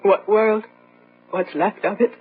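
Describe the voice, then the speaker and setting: An actress playing an earnest, brave but disillusioned young daughter who speaks haltingly and questioningly in a gothic radio drama. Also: female voice, medium pitch, fairly even intonation, slight background noise, close to an everyday voice. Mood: hopeless. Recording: muffled old radio drama, faint music.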